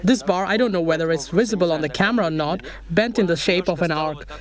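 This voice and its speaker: A man's voice: sits high, fast. A young man explaining a building project step by step in a casual outdoor vlog in a forest. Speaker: vlogger building a treehouse.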